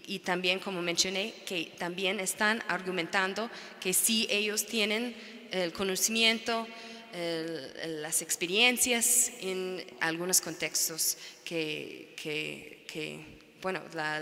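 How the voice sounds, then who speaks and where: Slow, halting woman, native English speaker. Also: fairly low pitch, sharp tone. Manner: halting, searching for words. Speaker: panelist, NGO researcher. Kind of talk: academic panel talk. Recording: reverberant lecture hall.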